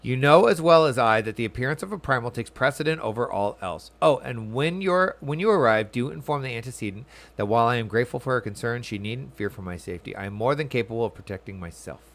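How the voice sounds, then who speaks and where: A man's voice: medium pitch, animated, fast talker, vocal fry, few pauses, a bit bright. A man voicing an earnest, kindly but self-righteous young noble from a game, with formal, archaic phrasing. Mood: urgent, proud. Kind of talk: reading game dialogue aloud.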